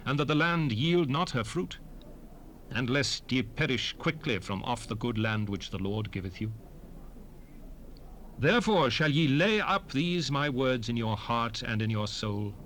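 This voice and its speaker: An actor playing a solemn, commanding prophet addressing his people in a dramatized scripture reading, with measured, declamatory phrasing. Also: fairly high pitch, fairly bright tone. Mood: stern, emphatic. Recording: noisy 1970s cassette rip, ambient background.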